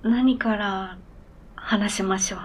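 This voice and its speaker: A woman's voice: medium pitch, fairly even intonation, slow pace, slight background noise, lightly acted. A woman playing an earnest, polite talking snowmobile in a radio drama, speaking formally and matter-of-factly. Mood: hesitant, subdued.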